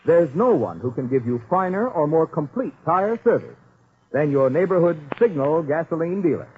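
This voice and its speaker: A man's voice: a little high, expressive, normal speed, husky. A man working as a 1940s radio announcer, reading commercials and credits with polished, emphatic salesmanship. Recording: tinny vintage radio, faint music.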